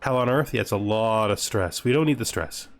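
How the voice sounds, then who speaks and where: A man's voice: fairly low pitch, a little fast. A man giving casual gameplay commentary, reading game text aloud and reacting with dry, offhand humour. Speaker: gaming video host.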